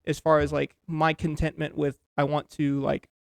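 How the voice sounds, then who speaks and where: A man's voice: fairly high pitch, monotone, normal speed, gravelly, no echo, clean studio sound. A man chatting on a podcast, rambling with many restarts and fillers. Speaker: podcast host and amateur comedian. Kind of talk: casual conversation.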